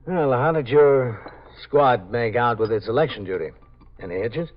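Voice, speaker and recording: A man with an American accent playing a weary, steady police captain in an understated 1950s radio drama. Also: mid-range voice, normal speed, natural voice. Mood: casual, attentive. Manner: matter-of-fact, understated. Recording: muffled 1950s radio recording.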